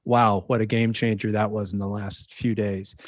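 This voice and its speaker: A man's voice: fairly low pitch, level tone, normal speed, no room sound. A man speaking as an enthusiastic, emphatic panelist in a casual unscripted discussion. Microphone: microphone on an online video call.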